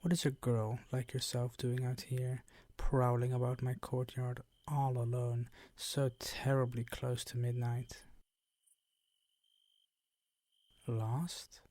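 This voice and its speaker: A man voicing a suave, predatory vampire count in an intimate ASMR roleplay, speaking formally with drawn-out, condescending, teasing courtesy. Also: mid-range voice, monotone, a little mellow, no echo, lightly acted. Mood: smooth, condescending.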